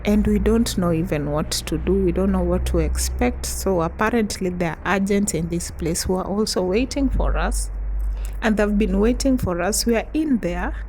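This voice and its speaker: A young woman from East Africa telling a chatty storytime vlog, often breaking into soft laughs. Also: medium pitch, a little hiss or hum, low-quality recording. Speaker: vlogger.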